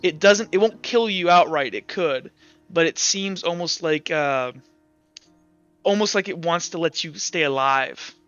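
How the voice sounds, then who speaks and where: A man narrating a tabletop game as game master, improvising with halting, backtracking explanations. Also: on the high side, fairly bright tone, clean tone, no room sound. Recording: slightly dull audio with music.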